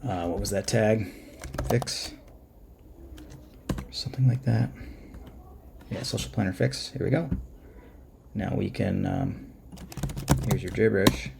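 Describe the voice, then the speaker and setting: A man with a very low, gravelly voice talks through a software tutorial in an informal way. He pauses and thinks aloud as he goes, unscripted and casual. There is noticeable background noise, with keyboard clicks around his speech. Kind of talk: casual unscripted screen-share walkthrough. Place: indoors at a computer.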